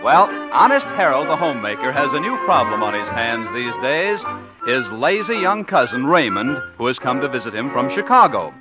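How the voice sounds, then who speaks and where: A man's voice: mid-range voice, big pitch swings, normal speed. A man announcing and narrating a scripted 1950s radio comedy. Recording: muffled vintage radio recording over music.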